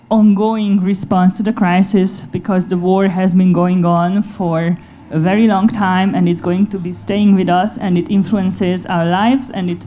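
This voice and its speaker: A woman's voice: medium pitch, warm tone. A woman moderator introducing a panel discussion at a live event. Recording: faint background noise, live event ambience.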